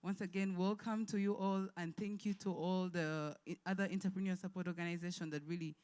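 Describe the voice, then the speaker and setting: A woman's voice: on the low side, steady tone, a little fast, darkish tone, clear, resonant tone. A woman speaking as an event host, giving an informal, polite and somewhat hesitant welcome speech.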